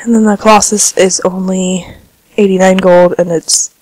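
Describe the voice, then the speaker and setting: A woman with a slight cold giving relaxed, chatty commentary over her gameplay, sounding a little congested. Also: mid-range voice, normal speed, dry recording, low-quality recording. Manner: relaxed, chatty, filler-heavy. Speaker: gaming vlogger.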